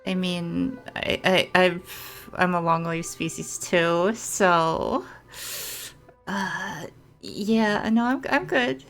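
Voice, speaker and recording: A woman's voice: fairly low pitch, extremely slow, a bit bright, soft background music. A woman gaming streamer giving casual reaction commentary, musing and thinking aloud.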